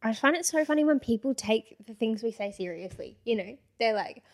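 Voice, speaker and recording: A young woman with a slightly high voice laughs her way through a casual podcast chat, reading out mean comments with mock voices. Her talk is loose and playful. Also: animated, a little fast. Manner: giggly, reads comments aloud with mock voices. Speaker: podcast co-host.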